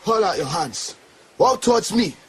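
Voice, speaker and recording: An actor playing a threatening gangster guard who barks orders in a radio drama. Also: male voice, high voice, expressive, normal speed, noisy background. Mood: barking orders.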